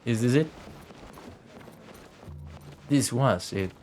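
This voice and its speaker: A man's voice: medium pitch, extremely slow, a little mellow. A young man doing casual livestream commentary over a video game, rambling and thinking aloud. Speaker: game streamer. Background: game music.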